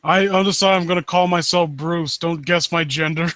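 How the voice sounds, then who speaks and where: A man casually riffing as a host who reads and mocks stories, sarcastic and quick to swear. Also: fairly high pitch, fairly even intonation, fairly bright tone, no room sound. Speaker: host reading and critiquing horror stories live. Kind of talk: casual riffing commentary with read-aloud passages.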